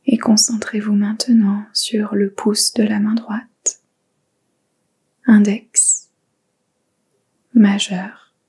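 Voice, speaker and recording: A woman guiding a yoga nidra meditation in a soft whisper, giving slow, calm instructions. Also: mid-range voice, husky. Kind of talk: guided meditation.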